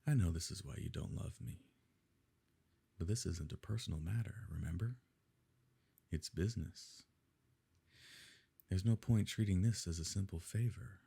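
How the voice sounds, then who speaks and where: Low, gravelly evil nobleman, acted by a man. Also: full character voice. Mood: smoothly coercive. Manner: measured, formal, quietly coercive. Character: smooth, possessive evil nobleman courting a grieving beloved. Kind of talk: ASMR romance roleplay, scripted dramatic monologue.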